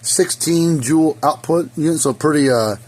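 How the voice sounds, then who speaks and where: A hoarse man giving a casual, rambling how-to repair demonstration in his hot workshop, with lots of fillers. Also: on the high side, normal speed, a little mellow. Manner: rambling, folksy, many fillers. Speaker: fence energizer repair technician. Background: faint hum of a small fan.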